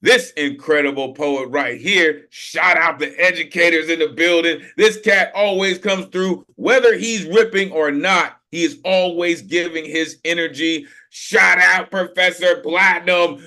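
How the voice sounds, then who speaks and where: A man hosting an online open mic over a video call, talking in an energetic, slangy way, full of shout-outs and "you know what I mean". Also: a little high. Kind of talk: hyped casual emceeing.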